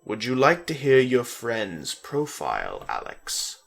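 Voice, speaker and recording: A man voicing a composed male doctor, firm but trying to be kind, speaking in a measured clinical way in a story reading. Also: mid-range voice, huge pitch swings, vocal fry, fairly bright tone, full character voice. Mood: gentle.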